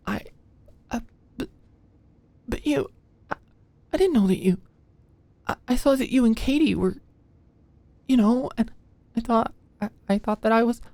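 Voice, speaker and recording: A woman playing an anxious, stressed young medical student in an ASMR audio roleplay, halting and stammering as she talks. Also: a little high, expressive, a little mellow, dry recording. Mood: stunned, flustered.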